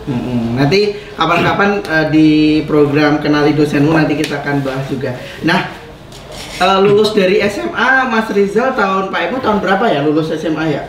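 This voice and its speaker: A man's voice: fairly high pitch, moderate pace, fairly bright tone, far from the mic, slight background noise. A young man hosting a casual interview, asking friendly questions and humming along as his guest talks. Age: young adult. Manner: friendly questioning, many back-channel hums. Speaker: interview host. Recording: roomy, off-mic, faint ambience.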